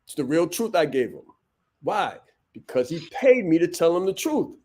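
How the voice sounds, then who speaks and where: A man's voice: a little high, very animated, normal speed, darkish tone, breathy edge. A man hosting a business podcast, giving a blunt, preachy motivational monologue full of punchy repetition. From Vietnam. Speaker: podcast host and business coach.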